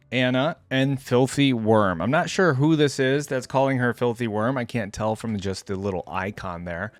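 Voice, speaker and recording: A man's voice: medium pitch, no echo. A man giving casual reaction commentary as a video host, musing aloud in a rambling way.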